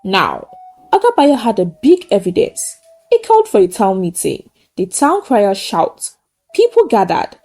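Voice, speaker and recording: A woman's voice: mid-range voice, huge pitch swings, measured pace, dark tone, faint music behind. A woman narrates a folktale in short, simple sentences, solemn and emphatic. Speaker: storyteller. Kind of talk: dramatic folktale narration with a closing moral.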